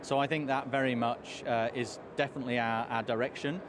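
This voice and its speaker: A hoarse man, a trade commissioner, answering interview questions in a measured way with many fillers. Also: mid-range voice, fairly even intonation. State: hoarse, losing his voice. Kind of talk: live outdoor interview answers. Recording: street noise behind.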